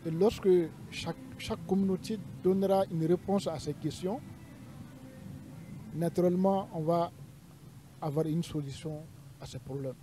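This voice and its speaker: Very dark-voiced, higher-pitched Malian man. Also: normal speed, slight background noise. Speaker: panel guest. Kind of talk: formal panel discussion answer.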